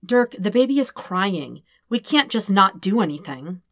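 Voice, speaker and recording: A woman's voice: medium pitch, big pitch swings, measured pace, fairly bright tone, no room sound, studio quality. A woman voicing a sensible, exasperated man, a detective's partner, in a matter-of-fact way. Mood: dry exasperation.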